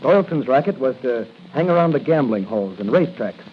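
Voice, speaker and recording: A man's voice: mid-range voice, lively intonation, a little fast, natural voice. An actor playing a confident young policeman hero in 1940s radio style, on a muffled, hissy old recording. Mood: confident, determined. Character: confident crime-fighting young policeman hero with secret masked identity.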